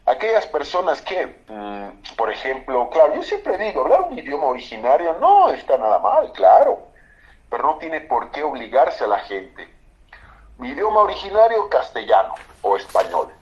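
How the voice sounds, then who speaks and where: A man's voice: fairly high pitch, wildly swooping pitch, moderate pace, highs cut off. A man from Bolivia, a lawyer, explaining constitutional law emphatically on a political talk show. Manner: emphatic, explanatory, repeats for stress.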